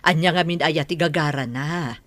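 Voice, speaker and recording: A woman's voice: low voice, normal speed, a bit bright, no echo. An actress playing a frank woman being courted in a radio drama, direct and questioning in manner. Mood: exasperated. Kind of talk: radio drama acting in Ilocano.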